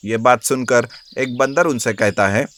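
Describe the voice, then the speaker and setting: A man's voice: mid-range voice, a little slow, a bit bright. A man telling a children's animal fable as a scripted narrator. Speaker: storyteller.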